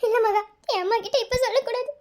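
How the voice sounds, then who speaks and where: A woman's voice: very high voice, fairly even intonation, fast, a little mellow. A woman playing a distraught young pregnant woman in a melodrama, pleading tearfully and full of shame. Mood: ashamed pleading.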